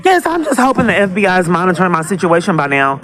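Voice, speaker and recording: A woman's voice: on the low side, big pitch swings, quick pace, fairly bright tone, slight background noise. A woman calling police for help, speaking insistently and repetitively and tending to talk over the other person. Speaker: caller to police dispatch. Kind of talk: phone call pleading for help.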